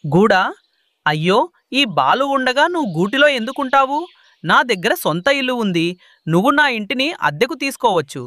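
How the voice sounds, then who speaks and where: A man's voice: high-pitched, big pitch swings, brisk, bright, forceful tone, no echo, heavily acted. A man playing a sly, greedy crow landlord who wheedles and bosses in a children's cartoon. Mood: wheedling. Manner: scheming, wheedling, bossy.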